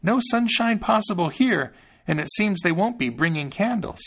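Brief: thumb-sized cheeky boy, very high squeaky voice. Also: expressive, normal speed, slightly rough, heavily acted. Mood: wry. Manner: squeaky, shouting.

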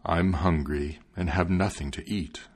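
A man's voice: rumbling low, expressive, unhurried, bright, forceful tone, breathy edge, voice pushed down, full character voice. A man voicing a sad, hungry, weary dog in a storybook tale, in a plaintive, subdued manner. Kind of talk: storybook character voice.

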